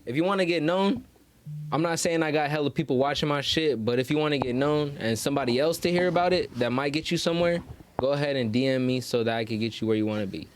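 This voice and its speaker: A man with a mid-range voice talks casually as a podcast guest. His speech is full of slang and swearing, with a self-promoting hustle. Faint noise sits behind him. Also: medium pitch.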